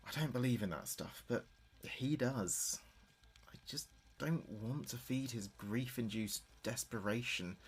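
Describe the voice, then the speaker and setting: A man's voice: fairly low pitch, husky. An actor playing a grieving adult son in an audio drama, speaking hesitantly and confidingly as if taping messages for his dead father. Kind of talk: audio drama monologue as a taped diary.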